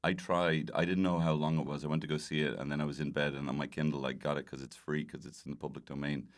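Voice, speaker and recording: A man with an exceptionally low, bassy voice chats casually in a comic interview. He rambles through his stories and pokes fun at himself as he goes. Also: little pitch movement, fast. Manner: rambling, jokey, self-deprecating. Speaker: podcast guest, comedian.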